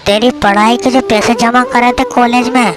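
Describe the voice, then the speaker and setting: A woman's voice: a little high, steady tone, moderate pace, close to an everyday voice. A woman voicing a flustered, scheming middle-aged village father in debt, in a cartoon story. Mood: scolding, worried. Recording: music behind the voice.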